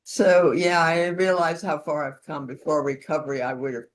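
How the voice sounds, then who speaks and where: A woman's voice: sits low, flat delivery, moderate pace. A woman leading an instructional session in an informal, conversational way, with role-played support calls. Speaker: session leader demonstrating peer-support calls.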